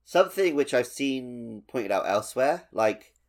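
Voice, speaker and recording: A man's voice: medium pitch, expressive, a little slow. A man hosting a gaming podcast in casual, conversational chat. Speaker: podcast host.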